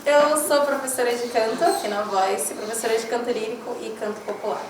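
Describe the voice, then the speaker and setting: A woman's voice: on the high side, a little fast. A Brazilian woman, a singing teacher, chatting casually as she introduces herself. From Peruíbe, São Paulo state, Brazil. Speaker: singing teacher, classical and popular. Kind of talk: casual chat. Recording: roomy echo with faint noise.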